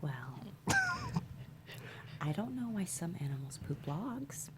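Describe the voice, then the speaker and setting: A woman's voice: lowish voice, very animated, slow. An actress playing a quirky, naive, upbeat woman who shares odd trivia earnestly in a comedy table read. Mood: cheerful. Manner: earnest, rambling, giggly. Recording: clear background room noise.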